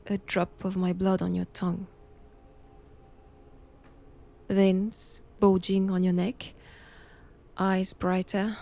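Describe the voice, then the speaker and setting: A woman with a French accent playing a cold, haughty nun in an intimate roleplay audio drama, speaking deliberately and often dropping toward a whisper. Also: medium pitch, creaky, darkish tone, a little hiss or hum. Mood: fascinated, probing. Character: cold, imperious nun examining a captive vampire.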